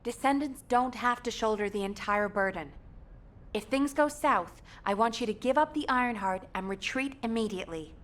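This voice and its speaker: An actress playing a concerned but firm military officer in a video game. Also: female voice, fairly high pitch, normal speed, fairly bright tone, natural voice.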